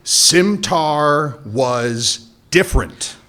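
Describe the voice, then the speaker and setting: A man voicing a cocky smuggler boss in a radio play, trading sardonic wisecracks. His slightly raised voice swings widely in pitch as he schemes. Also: expressive, very slow, bright, forceful tone, exaggerated voice. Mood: wry. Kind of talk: comic radio play acting.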